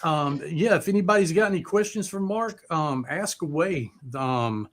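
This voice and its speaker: A man hosting a live music chat, talking casually and haltingly with loose swearing. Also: fairly high pitch, lively intonation. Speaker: host of a live music talk show.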